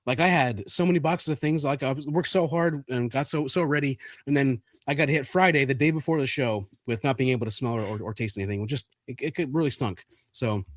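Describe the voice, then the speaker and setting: A man co-hosting a card podcast, congested and stuffy, talking in a halting way with many restarts. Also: mid-range voice, no echo, clean studio sound. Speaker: podcast co-host. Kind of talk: casual chat.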